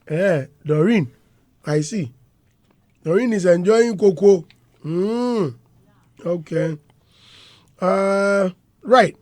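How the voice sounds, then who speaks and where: Slow, high-voiced Ghanaian radio host. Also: male voice, darkish tone, dry recording, studio quality. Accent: Ghanaian English. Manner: deliberate, drawn-out questions. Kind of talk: morning radio interview. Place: radio studio. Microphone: studio microphone.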